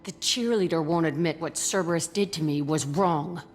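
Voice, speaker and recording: Woman acting hostile young female ex-convict, lowish voice, blunt, combative, wounded, video game drama, music behind. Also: moderate pace, close to an everyday voice.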